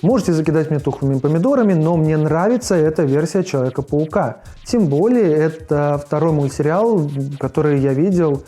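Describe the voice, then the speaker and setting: A man with a fairly high voice narrates a casual review as host. Faint music plays behind his voice-over. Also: animated, fairly quick, few pauses, mellow. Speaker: video host reviewing forgotten cartoons.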